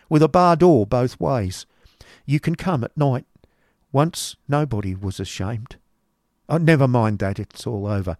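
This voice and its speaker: A man's voice: medium pitch, darkish tone, no room sound, clean studio sound, voice pushed up, close to an everyday voice. A man doing a wistful, shabby old clerk. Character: shabby former gentleman, humble male clerk.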